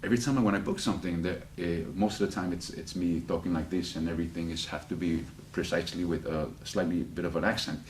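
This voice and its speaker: A man with a slight Spanish accent, an actor chatting casually as an interview guest, rambling and animated with many restarts. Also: low-pitched, quick pace, hardly any pauses, echoey room, a little hiss or hum. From United States.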